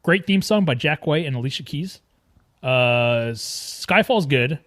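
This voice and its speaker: A man's voice: fairly high pitch, animated, unhurried, a bit bright. A man hosting a film podcast, chatting casually with a jokey, riffing manner. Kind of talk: casual film discussion, bantering.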